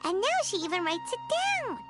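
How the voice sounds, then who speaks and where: A woman voicing a tiny, chirpy, childlike fairy companion in a video game, squeaky and blunt. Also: very animated, fairly quick. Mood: gentle, observant. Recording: music behind the voice.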